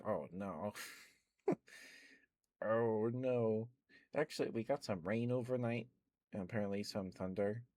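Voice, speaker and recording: A young man, an ASMR streamer, rambling softly in casual chat with frequent chuckles. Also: on the low side, no echo. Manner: soft-spoken, rambling, chuckles often.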